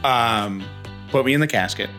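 A man's voice: mid-range voice, normal speed, sharp tone, faint music behind. A man chatting casually as a podcast co-host, with a wry, joking way of talking. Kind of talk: casual chat.

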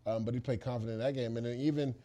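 A man's voice: medium pitch, steady tone, very fast, mellow. A man co-hosting a football podcast, giving emphatic, opinionated analysis in a casual, conversational way.

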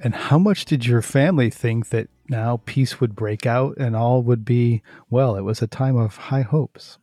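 A man's voice: medium pitch, a little slow, mellow, dry recording, soft background music. A man hosting an interview programme, moving between scripted narration and questions to his guests.

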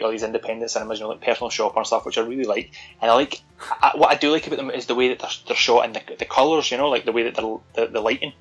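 A man co-hosting a podcast, chatting in a loose, rambling way and laughing often. His voice sits at a middling pitch for a man. A faint music bed runs underneath. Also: fairly bright tone, husky, poor audio. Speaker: podcast co-host. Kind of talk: casual chat.

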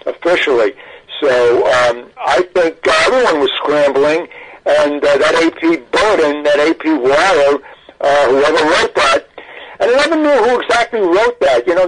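A mature man speaking as an interview guest, in a halting, reflective, explanatory way. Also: a little high, slight background noise, rough recording. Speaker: audio archivist guest. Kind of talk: interview recollection.

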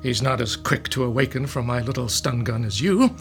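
A man playing a gloating, smug mad scientist villain in a radio drama, with soft laughs. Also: mid-range voice, expressive, a little fast, soft background music, natural voice.

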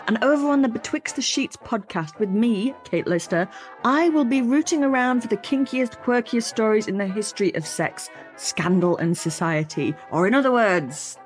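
A woman podcast host, narrating a scripted promo in a playful, wry way. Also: mid-range voice.

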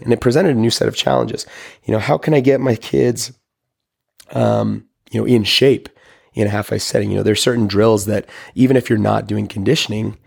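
A middle-aged man, a hockey coach, talking in a rambling, reflective way with a hoarse voice that is only just coming back. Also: fairly low pitch, darkish tone. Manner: rambling, many fillers and restarts. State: hoarse, recovering lost voice. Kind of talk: casual reflective monologue.

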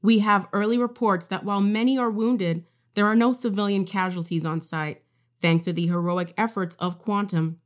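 An actress playing a composed female radio news correspondent, reading a serious report in a measured, formal delivery. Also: lowish voice, close to an everyday voice.

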